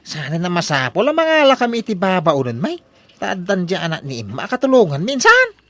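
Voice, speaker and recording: An actor playing an eager, scheming husband in an Ilocano comedy radio drama, with an exaggerated delivery. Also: male voice, sits high, wildly swooping pitch, brisk, fairly bright tone, no room sound, exaggerated voice. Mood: pleading.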